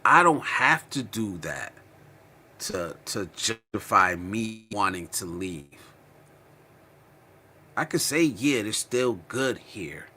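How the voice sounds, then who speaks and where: Very deep-voiced American man, forceful. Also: slight background noise. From Connecticut, United States. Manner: slangy, informal, often ends phrases with "man"; emphatic repetition of phrases. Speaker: livestream host. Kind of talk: casual chat, livestream commentary answering viewer comments.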